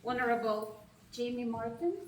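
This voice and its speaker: A woman's voice: a little high, a little mellow, recorded from a distance, slight background noise. Russian-accented woman speaking in an echoey hall. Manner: measured, emphatic delivery with pauses between phrases. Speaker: institute president giving opening remarks. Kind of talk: formal speech at a reception. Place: large reception hall with an audience. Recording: echoey hall, voice heard from afar.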